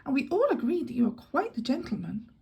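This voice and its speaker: A woman's voice: big pitch swings, fairly quick, gravelly, mellow, exaggerated voice. A woman voicing a gushing, skittish young lady tourist. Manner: gushing, giggly, shrill. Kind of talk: audiobook character voice.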